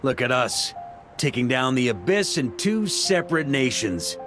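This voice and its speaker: An actor playing a relaxed, friendly knight commander who talks in a reflective way. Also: male voice, medium pitch, unhurried. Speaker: knight back from a long expedition. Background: soft game music.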